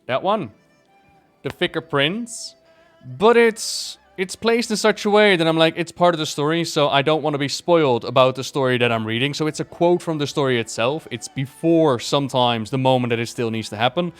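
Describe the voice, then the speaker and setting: Smooth-voiced man giving a casual review. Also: a little high, moderate pace, soft background music. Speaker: video creator reviewing a book. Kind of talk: casual, enthusiastic review chat.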